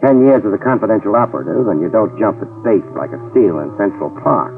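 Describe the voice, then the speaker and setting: An actor in a 1950s radio detective drama playing a tough, wisecracking middle-aged private eye who narrates in dry hard-boiled style. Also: male voice, fairly low pitch, animated, fast talker. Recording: tinny lo-fi 1950s radio with music cues.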